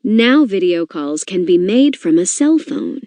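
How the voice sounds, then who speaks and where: Mellow, animated woman reading aloud. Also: mid-range voice, measured pace, dry recording. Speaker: reader of a children's nonfiction book. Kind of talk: educational read-aloud narration.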